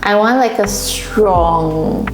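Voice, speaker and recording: A woman's voice: mid-range voice, extremely slow. A young woman from Singapore, a tattoo client, chatting casually, giggling and trailing off with fillers. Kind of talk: casual chat. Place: tattoo studio, indoors. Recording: echoey room with music behind.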